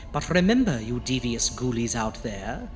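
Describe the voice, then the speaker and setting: A man playing a theatrical, gleefully morbid horror host, narrating in a hammy, drawn-out, mock-pitying way. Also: medium pitch, a bit bright. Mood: sly menace. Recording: reverberant hall, faint music behind.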